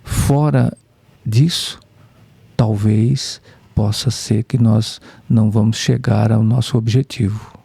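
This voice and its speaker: Gravelly husky man. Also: on the low side, fairly even intonation, takes it slowly, mellow, dry recording. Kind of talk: spiritist study discussion.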